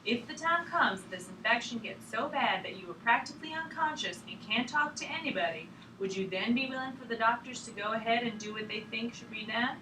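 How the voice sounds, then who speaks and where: A woman's voice: on the high side, expressive, brisk, hardly any pauses, natural voice. A woman acting a patient, deferential official who keeps questioning a sick patient in a drama table read. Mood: polite, insistent. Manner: polite, deferential, insistent. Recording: echoey room, distant mic, noisy background.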